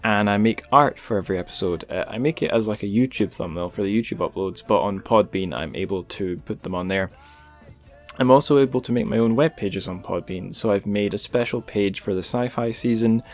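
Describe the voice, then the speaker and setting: A man with a fairly low voice hosts a podcast in a casual, informal way. He has a wry, self-deprecating manner, and there is faint music behind him. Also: a little fast. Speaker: podcast host. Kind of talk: casual podcast talk with plugs.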